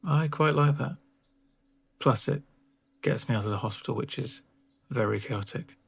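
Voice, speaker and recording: A soft-spoken man plays a caring doctor in an ASMR roleplay. His voice is husky and creaky, sitting at a middle pitch. He speaks gently and reassuringly, leaving unhurried pauses between phrases. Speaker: ASMR performer playing a home-visit doctor.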